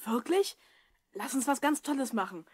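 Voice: high-pitched, expressive, normal speed, slightly rough. An actor voicing an eager, curious twelve-year-old boy in a German children's audio drama. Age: child figure, twelve.

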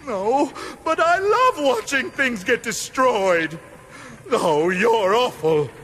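An actor playing a pompous, petulant mad-scientist uncle in a cartoon video game, ranting with theatrical, over-enunciated delivery. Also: male voice, very high-pitched, expressive, slow pace, fairly bright tone, full character voice.

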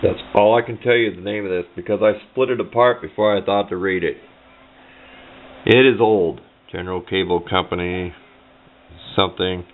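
A man's voice: sits low, fairly even intonation, moderate pace. A man giving a casual, unscripted how-to at a workbench, talking in a plain, rambling, folksy way. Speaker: hobbyist demonstrating a homemade build. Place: indoors at a workbench. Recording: muffled, narrow phone-like camera audio.

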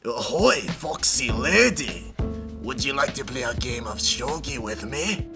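A man's voice: mid-range voice, lively intonation, a little slow, vocal fry. Man playing a hearty, boozy old sailor over music. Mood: leering, jovial. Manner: hearty pirate-like speech. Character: boozy old male sailor, playful and leering. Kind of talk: comedic anime parody dub.

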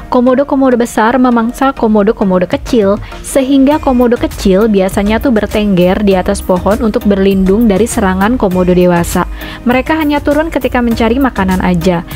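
A woman's voice: on the high side, fairly quick, darkish tone, soft background music. A young woman from Indonesia, a travel vlogger, narrating her trip in a chatty, upbeat way and mixing in English phrases. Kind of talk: vlog voice-over and on-the-spot chat. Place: outdoors on a forest trek.

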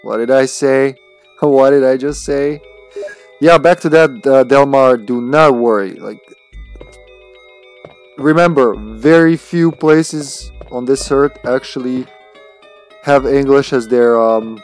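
A man's voice: medium pitch, slow pace, pauses often, darkish tone, faint music behind. A man, chatting casually and playfully with swearing as he streams a game. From Serbia. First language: Serbian. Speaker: video game livestreamer. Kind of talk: casual live gameplay commentary with chat.

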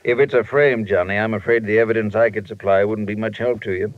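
A man's voice: fairly low pitch, fairly even intonation, close to an everyday voice. Kindly old radio doctor played by a man, tinny sound. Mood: frank, regretful. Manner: measured, precise, concerned. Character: kindly, frank older male doctor, loyal friend. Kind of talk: 1950s radio drama acting. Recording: tinny, band-limited vintage radio recording.

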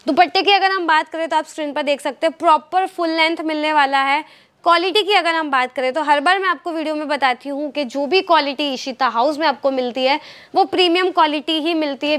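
Young indian woman, high voice, chirpy shop sales pitch on a lapel mic. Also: a bit bright. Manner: upbeat, fluent, repetitive sales patter mixing in English words. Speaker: presenter for a wholesale clothing outlet. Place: indoor clothing store with stocked shelves.

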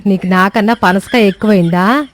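A woman's voice: mid-range voice, moderate pace, close to an everyday voice. A woman playing a grumbling, suspicious middle-aged mother-in-law in a cartoon moral story. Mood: scolding.

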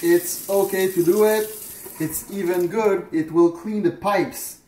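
A man's voice: high voice, steady tone, slow pace, darkish tone. A man in his thirties chatting through a casual how-to demonstration in his home kitchen, hesitant with fillers and restarts, recorded by a camera on the counter. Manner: chatty, hesitant with fillers and restarts, moving about while working. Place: home kitchen indoors at night. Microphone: camera on the counter at a distance. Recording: echoey kitchen, faint room noise.

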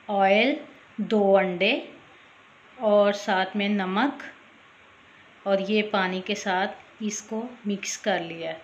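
A chatty woman talks viewers through a recipe in a relaxed voice-over. Her pitch sits in an ordinary middle range. The recording is a little dull, with a faint hiss behind her. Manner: chatty, repeats "theek hai", addresses viewers directly. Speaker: cooking channel host. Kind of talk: casual voice-over cooking tutorial.

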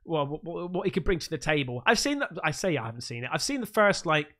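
A man's voice: fairly high pitch, big pitch swings, fast, bright, forceful tone. A man in his thirties casually reacting to clips on his stream, thinking aloud with restarts, recorded close on a studio mic in a small home room. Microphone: close studio mic with headphones.

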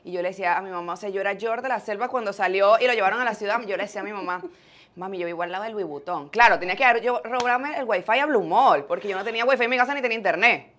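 A woman's voice: mid-range voice, racing, fairly bright tone. A woman in her twenties with a Venezuelan accent, telling stories casually as an interview guest on a lapel mic. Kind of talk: casual, chatty storytelling. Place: small indoor studio set.

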